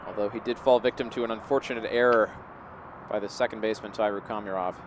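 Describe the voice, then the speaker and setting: A man's voice: lowish voice, steady tone, fast talker. A man giving live play-by-play baseball commentary as the game unfolds. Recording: clear outdoor background noise.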